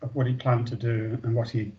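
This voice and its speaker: A man's voice: medium pitch, little pitch movement, fairly quick. A man who is losing his voice gives an update in an online meeting over a video call. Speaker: meeting participant giving an update. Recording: dull lo-fi video call audio.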